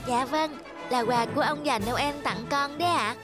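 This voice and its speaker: A woman's voice: high voice, moderate pace, natural voice. A woman voicing a sweet six-year-old boy who speaks in an earnest, childlike way in a cartoon. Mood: eager. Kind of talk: cartoon voice acting. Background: music.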